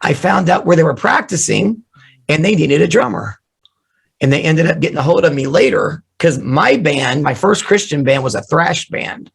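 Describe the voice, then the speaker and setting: A man who is losing his voice, hoarse, telling animated, joking stories about his drumming start as an interview guest on a remote call. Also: on the high side, moderate pace. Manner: animated storyteller, jokey. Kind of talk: casual storytelling interview.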